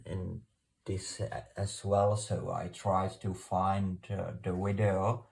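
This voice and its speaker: A man with a Czech accent, a native Czech speaker, presenting an informal unscripted explainer in a halting, hesitant way with formal phrasing. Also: deep voice, gravelly, a little mellow. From Czech Republic.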